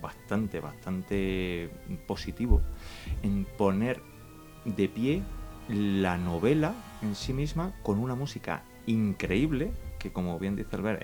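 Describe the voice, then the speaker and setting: Lower-voiced Spanish man, earnest actor. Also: warm tone. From Granada, Spain. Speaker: musical theatre actor. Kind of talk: interview guest talk.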